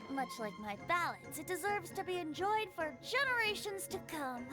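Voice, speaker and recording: Anime game boy bard: whimsical, florid, tipsy, high youthful voice with very lively pitch. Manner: grandiose, florid. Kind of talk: anime game dub acting. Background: music.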